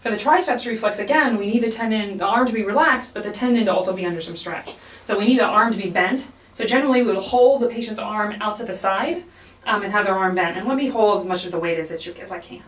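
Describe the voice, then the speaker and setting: A woman's voice: mid-range voice, fast talker, a bit bright. A woman giving an explanatory hands-on clinical demonstration as an instructor, repeating key points. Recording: echoey room, somewhat distant mic.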